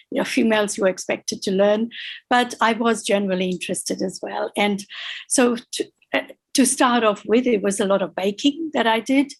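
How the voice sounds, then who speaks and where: A woman in her sixties from Fiji, talking over a video call from her home, pausing often with ums as she gathers her thoughts. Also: medium pitch. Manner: thoughtful, many ums and restarts. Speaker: guest, author. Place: home room with bookshelf. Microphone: video call webcam.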